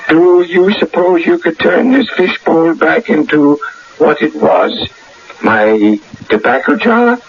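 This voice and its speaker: A man's voice: a little high, expressive, slow pace. An actor playing a courteous, bookish minister in a radio drama, politely hesitant in his speech. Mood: amazed, curious. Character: genteel, courteous minister, mildly bewildered and impressed. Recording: muffled, noisy old radio broadcast.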